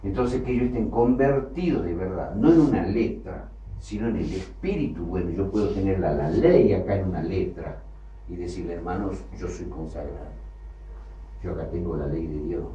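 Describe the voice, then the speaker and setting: Emphatic preacher in an echoey hall. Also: male voice, expressive, moderate pace, dull audio, a little hiss or hum. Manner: emphatic, rising to loud bursts then dropping low. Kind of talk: sermon. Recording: echoey hall, from far off, faint ambience.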